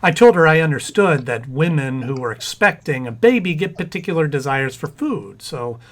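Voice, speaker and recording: A man playing an excitable, flustered husband expecting a baby, stammering frantically in old-time radio comedy style. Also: a little high, moderate pace. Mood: bashful, puzzled.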